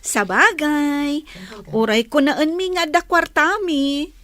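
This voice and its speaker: A woman playing a determined, friendly young student in an audio drama, with a deliberate way of speaking. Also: high voice, a bit bright.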